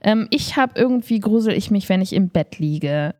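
Eine Frau in ihren Dreißigern spricht als Co-Moderatorin eines Podcasts locker, offen und nachdrücklich. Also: female voice, mid-range voice, expressive, moderate pace, darkish tone, no echo, clean studio sound. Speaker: podcast co-host, improv performer. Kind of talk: casual conversational podcast talk.

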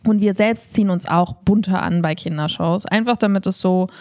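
Woman in relaxed podcast conversation, medium pitch. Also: brisk. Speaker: podcast co-host; improv theatre teacher. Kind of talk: casual podcast chat.

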